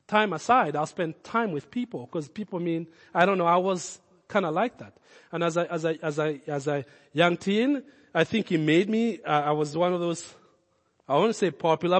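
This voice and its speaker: A Kenyan-accented male pastor preaching in a high-pitched voice, pressing hard on his points and then dropping low and close. His words ring out with a long reverb from the church hall around him. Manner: impassioned preaching, rising loud then dropping low and intimate. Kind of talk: sermon.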